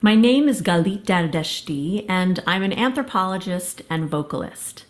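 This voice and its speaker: A woman's voice: on the low side, lively intonation, normal speed. A woman from the United States, an anthropologist and vocalist, speaking earnestly in a short documentary clip. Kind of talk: documentary testimony.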